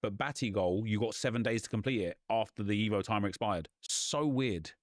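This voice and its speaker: A young man, a gaming YouTuber, chatting and ranting casually in a slightly hoarse voice. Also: lowish voice, dry recording, clean studio sound. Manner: chatty, ranting, quick asides.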